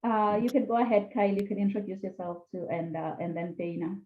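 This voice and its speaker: A woman's voice: mid-range voice, fairly quick. A woman host welcomes her panel with lots of enthusiasm and easy laughter. Her voice comes through an echoey room and a narrow, phone-like line. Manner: enthusiastic, laughs often, repeats words. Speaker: podcast host. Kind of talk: online panel hosting.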